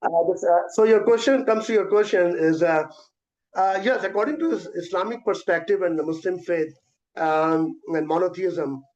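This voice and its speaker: Man w answering questions fast n hesitant, kinda highish voice, muffled call sound. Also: darkish tone. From South Asia. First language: Urdu. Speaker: guest presenter. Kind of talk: answering questions in an online event. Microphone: video call.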